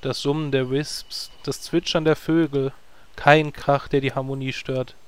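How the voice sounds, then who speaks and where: A man voicing a vain young druid hero in a plain, mid-pitched voice. He drops dry, mocking asides and sounds full of himself. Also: little pitch movement, clean tone, close to an everyday voice. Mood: sarcastic. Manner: wry, sarcastic, quick asides. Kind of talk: comedy audio drama acting.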